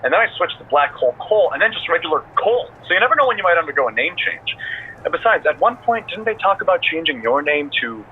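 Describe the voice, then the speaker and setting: A man's voice: on the high side, sing-song, quick pace, phone-quality sound. An actor playing a goofy, boastful male podcast co-host in a video game, joking and teasing, with band-limited sound. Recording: thin band-limited sound, faint noise.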